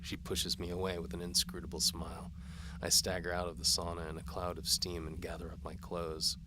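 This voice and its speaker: A man's voice: low-pitched, completely flat delivery, brisk, noisy background. A man reading dramatic first-person noir narration in a hard-boiled, wry manner.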